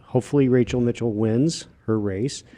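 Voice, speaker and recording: A man's voice: medium pitch, normal speed, mellow. A man hosting a casual podcast conversation, chatty and agreeable as he asks questions. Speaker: podcast host.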